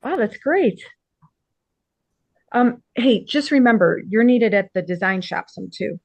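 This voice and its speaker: A woman playing a warm, earnest young businesswoman in a script table read. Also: on the high side, expressive, unhurried, creaky, no echo, heavily acted. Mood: warm, encouraging.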